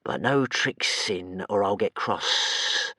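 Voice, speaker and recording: A man voicing a slow, hissing tentacled monster. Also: on the low side, bright, forceful tone, no room sound, studio quality, voice pushed down, heavily acted. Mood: sulky. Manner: slow, drawn-out, whining hiss.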